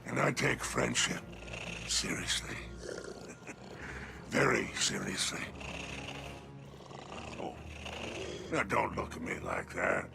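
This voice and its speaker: An actor playing a sly, scheming male alien crime lord in a video game, gravelly and mocking, with a theatrical drawl. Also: on the low side, wildly swooping pitch, slow pace, long pauses, background noise, exaggerated voice. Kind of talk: video game cutscene acting.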